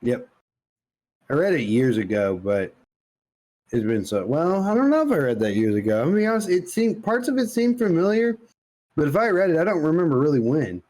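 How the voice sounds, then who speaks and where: A man's voice: fairly high pitch, big pitch swings, brisk, a little mellow. A man co-hosting a podcast in casual conversation, blunt and opinionated in the way he talks. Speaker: podcast co-host.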